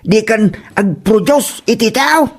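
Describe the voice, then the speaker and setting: A man's voice: high voice, animated, takes it slowly, full character voice. A man playing a nagging, exasperated older father with an Ilocano accent in a comedy drama. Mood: scolding. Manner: scolding, exasperated.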